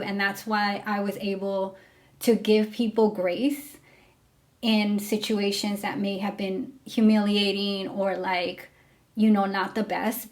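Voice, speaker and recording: A young woman from Mexico talking candidly to camera, frank and full of fillers, about her time as a driver. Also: mid-range voice. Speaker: former rideshare driver. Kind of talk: casual storytelling to camera.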